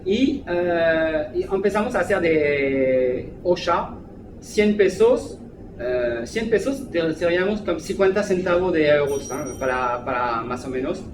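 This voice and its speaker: French-accented man, hesitant, echoey room. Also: sits high. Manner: hesitant, many fillers. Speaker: restaurant manager. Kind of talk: interview. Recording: echoey room, background noise.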